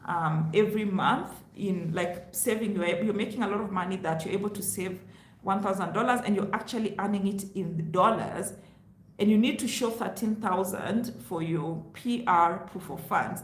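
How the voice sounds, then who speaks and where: A woman's voice: medium pitch, moderate pace. Chatty woman vlogger, roomy sound. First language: Luo. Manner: chatty, explains with rhetorical asides. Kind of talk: casual advice vlog. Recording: roomy echo with faint hiss.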